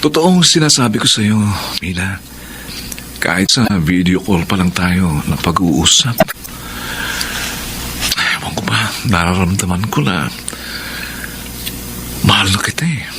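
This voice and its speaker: Low-voiced ardent young suitor. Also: male voice, expressive, unhurried, long pauses. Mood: ardent, sincere. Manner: romantic, earnest, pleading. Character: ardent, smooth-talking online suitor, young man. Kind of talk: Filipino radio drama acting. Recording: noisy background behind the voice.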